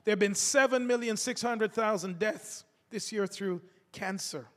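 Gravelly-voiced preacher with a cold. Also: male voice, sits high, unhurried, fairly bright tone. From United States. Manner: fervent, calls out to the congregation. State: has a cold. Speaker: pastor. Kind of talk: sermon. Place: church sanctuary. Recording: echoey hall reverb.

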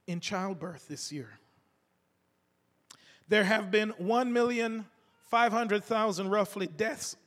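A man preaching a sermon to his congregation, his voice gravelly from a cold, fervent and calling out to the listeners. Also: sits high, fairly bright tone. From United States. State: has a cold. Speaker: pastor. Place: church sanctuary. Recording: echoey hall reverb.

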